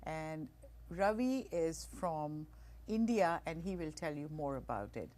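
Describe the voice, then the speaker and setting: A woman with a slightly low voice hosts a talk show. She is chatty and friendly and laughs along with her guest. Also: expressive, measured pace, a little mellow. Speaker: host.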